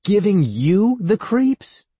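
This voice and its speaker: A man's voice: on the low side, takes it slowly, darkish tone, breathy edge, no room sound. A man voicing an anxious, impatient young boy with a snappy, wailing manner in a children's storybook. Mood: wailing, desperate. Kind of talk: children's audiobook character voice.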